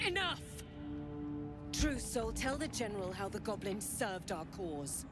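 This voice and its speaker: A woman's voice: medium pitch, big pitch swings, measured pace. A woman voicing a stern, imperious cult commander in a video game, with formal speech. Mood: sharp, commanding. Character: stern, imperious female cult commander, deferential to her lord. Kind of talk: video game character acting. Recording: game audio with loud music.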